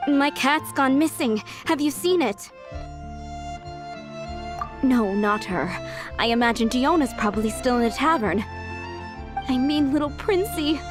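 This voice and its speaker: A woman playing a friendly, soft-hearted young townswoman in an anime-style video game. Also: high-pitched, expressive, measured pace, long pauses. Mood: worried. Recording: loud music underneath.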